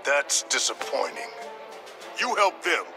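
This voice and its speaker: An actor playing a ruthless, menacing government agent in a video game, commanding and taunting. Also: male voice, fairly high pitch, big pitch swings, takes it slowly, over music. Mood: cold, taunting. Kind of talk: dramatic video game voice acting.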